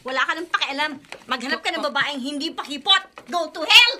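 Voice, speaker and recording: A woman's voice: high-pitched, lively intonation, fairly quick, pushed and bright, slight background noise, exaggerated voice. A woman playing an earnest, feisty young Filipino woman in a radio drama, speaking emotionally and emphatically. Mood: indignant. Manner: emotional, emphatic, mixes in English phrases.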